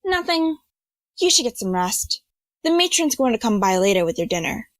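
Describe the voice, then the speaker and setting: A woman performs a rich, haughty young student with a dry, sardonic wit. The voice is high and swoops expressively while scolding, recorded close and dry for an audio drama. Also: very animated, moderate pace, no echo. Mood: curt.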